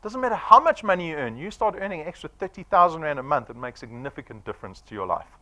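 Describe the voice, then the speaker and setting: A man's voice: on the high side, big pitch swings, quick pace, sharp tone. A man of forty with a South African accent, giving a punchy sales presentation to an audience and firing off rhetorical questions. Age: 40s.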